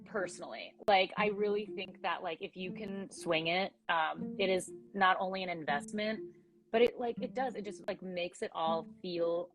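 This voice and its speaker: A young woman with a raspy voice, chatting casually as an actress guest in an interview and filling her talk with "like". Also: fairly low pitch, moderate pace, music in the background, low-quality recording. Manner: chatty, many "like" fillers.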